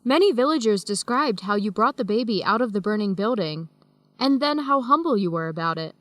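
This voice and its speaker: An actress playing a friendly, teasing young American woman in an educational radio drama, speaking clearly and deliberately. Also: medium pitch, animated, fairly bright tone, no room sound, lightly acted. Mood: earnest, encouraging.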